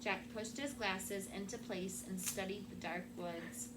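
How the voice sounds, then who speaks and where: A woman teacher reading a children's story aloud in an expressive narrating style. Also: medium pitch, a little slow. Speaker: classroom teacher. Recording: clearly noisy room recording.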